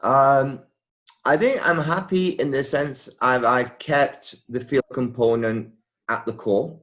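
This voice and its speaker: A university professor speaking in a video call interview, halting with many restarts and repeated words. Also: male voice, mid-range voice, fairly even intonation, a bit bright.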